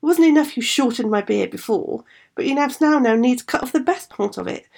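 Storybook dwarf: cranky old man, screeching and husky, put on by a female narrator. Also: lively intonation, fairly quick, a little mellow. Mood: outraged. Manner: shrill, scolding, ungrateful. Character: screeching bad-tempered old male dwarf.

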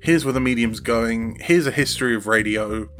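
A man's voice: mid-range voice, normal speed, fairly bright tone, soft background music. A man chatting casually as an interview guest about his creative work, hesitant and often circling back to rephrase as he thinks aloud.

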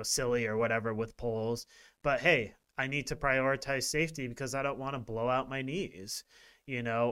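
Smooth-voiced man in casual podcast chat. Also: medium pitch. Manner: relaxed, rambling with many fillers. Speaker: podcast co-host.

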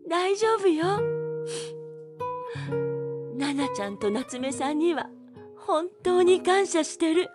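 An actress playing a tender, grieving young widow with emotional, sincere delivery in an audio drama. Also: female voice, extremely high pitch, expressive, slow pace, long pauses, music in the background, exaggerated voice. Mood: grateful, relieved.

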